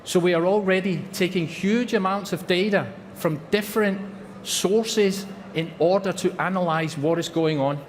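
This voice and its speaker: Scottish guy presenting on stage, voice a bit high, long hall echo and faint crowd ambience. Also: normal speed, a little hiss or hum. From Scotland. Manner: earnest, repeats for emphasis. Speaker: company presenter. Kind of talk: trade fair presentation. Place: large exhibition hall.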